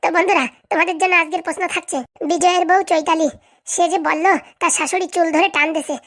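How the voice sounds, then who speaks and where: A woman's voice: very high voice, fairly even intonation, quick pace, fairly bright tone. A woman voicing a skinny, cheeky comic cartoon host who chats to viewers in a chummy, teasing, mock-complaining way. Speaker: host answering viewer comments.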